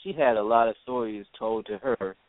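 A man from Jamaica, a guest in an interview, talking haltingly with many restarts. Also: on the low side, a crowd in the background. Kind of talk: casual interview talk. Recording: phone line, dry.

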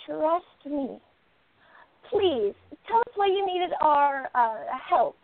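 A woman's voice: high voice, very animated, takes it slowly, no echo, heavily acted. A woman playing a curious, confident exiled princess turned sleuth in a radio play. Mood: assured. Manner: confident, insistent. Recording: thin phone-like sound, noisy line.

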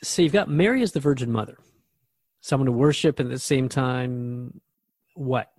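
A man's voice: mid-range voice, normal speed, a little mellow, slightly rough, dry recording, clean studio sound. A man acting as a radio host in a conversational drama, talking in an inquisitive, reflective way.

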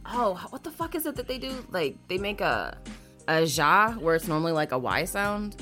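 Low-ish woman's voice, swoopy and loose, cussing and laughing on a podcast, bit of music behind. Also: big pitch swings, moderate pace, pushed and bright. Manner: loose, swearing, laughing, reads news stories aloud. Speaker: podcast co-host. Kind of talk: casual podcast banter.

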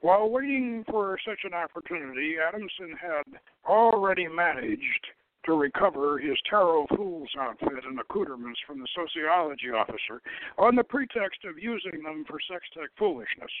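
A man's voice: fairly high pitch, expressive, moderate pace, dry recording, phone-quality sound. A man giving a dramatic, theatrical reading of a story, stumbling over the odd word. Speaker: show host reading own novel.

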